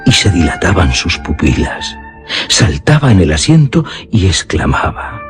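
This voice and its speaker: Male narrator, low voice, unhurried, solemn, theatrical radio storytelling, music behind. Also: sing-song. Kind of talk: dramatic literary radio narration.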